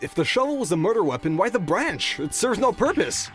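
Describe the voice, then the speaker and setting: Woman playing exasperated young student, mid-pitched, very animated, blunt, loyal, audio drama, light music. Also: fairly quick, close to an everyday voice. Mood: incredulous. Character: hot-headed, loyal young student pleading with a friend.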